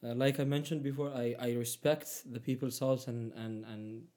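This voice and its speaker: A man's voice: mid-range voice, level tone, moderate pace, mellow. A young man whose first language is Arabic, talking casually as a guest in English, hesitant with many fillers and restarts. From Palestinian, raised in Egypt. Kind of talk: casual conversation.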